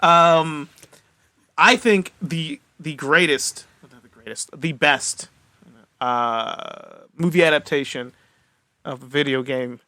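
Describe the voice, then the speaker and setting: Higher-pitched bright man, dry sound. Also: slow pace, pauses often, no room sound. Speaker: podcast panelist. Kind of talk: casual chat.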